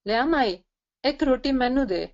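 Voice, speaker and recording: A woman's voice: sits high, expressive, normal speed, dull audio, voice pushed up. A woman with a Punjabi accent voicing a clever, bargaining male mouse in a folk tale, in sing-song rhyme. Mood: cheeky demanding. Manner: sing-song rhyming chant.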